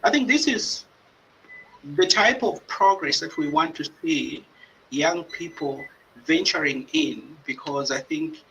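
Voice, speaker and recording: Male moderator, higher-pitched, hesitant, faint noise behind. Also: slow pace, dull audio. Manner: hesitant, frequent fillers, lively pitch swings. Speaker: panel host. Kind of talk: moderating a panel.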